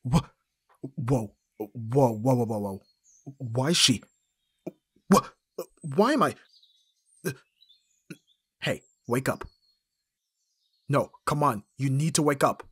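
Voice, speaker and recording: A man acting an awkward, flustered young best friend in an ASMR roleplay, with a breathy, husky voice and hesitant, stammering delivery. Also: mid-range voice, expressive, slow, pauses often, fairly bright tone, no echo, heavily acted. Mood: panicked. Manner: hesitant, stammering, often dropping to whispers.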